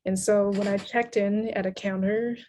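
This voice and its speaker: Female guest from Taiwan, middle-pitched voice, relaxed and considered conversational delivery. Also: moderate pace, slight background noise. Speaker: guest translator. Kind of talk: casual conversation.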